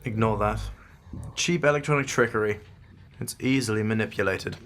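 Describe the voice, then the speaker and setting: An actor playing a brusque, secretive agent in an audio drama, speaking in a clipped, formal, scornful manner. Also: male voice, fairly low pitch, moderate pace, a bit bright, slight background noise, close to an everyday voice. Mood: dismissive. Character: brusque, secretive male agent, impatient and dismissive.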